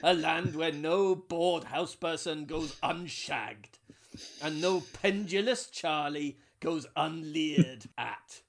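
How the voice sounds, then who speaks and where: A man playing a pompous Welsh trade union leader giving a grand, booming speech in a comedy sketch. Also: slow pace, vocal fry, full character voice. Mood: grandiose. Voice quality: booming, grandiose rally oratory.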